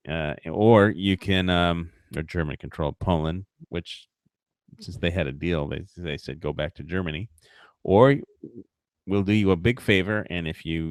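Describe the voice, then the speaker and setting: A man with a low voice hosts a casual livestream, talking in loose, rambling commentary. He swears and mocks freely, filling gaps with uh and you know. The sound is dry and close to the mic. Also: gravelly, no room sound. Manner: profane, mocking, rambling with frequent fillers. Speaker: livestream host.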